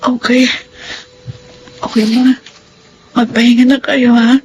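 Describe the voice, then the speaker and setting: Tender, worried grown daughter, faint noise. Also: female voice, fairly high pitch, level tone, takes it slowly, poor audio. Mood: gentle, respectful. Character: dutiful, worried adult daughter and mother. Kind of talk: radio drama acting.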